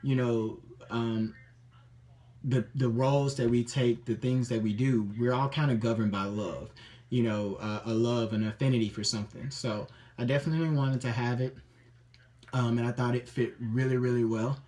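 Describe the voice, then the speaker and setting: A man with a mid-range voice, neither high nor low, telling the stories behind his tattoos. He talks in a chatty, upbeat way and often stops on an um or trips over his sentences as he goes. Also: fairly even intonation, normal speed, darkish tone. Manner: chatty and upbeat, many ums and self-restarts. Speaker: interviewee showing his tattoos. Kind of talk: casual personal account to camera.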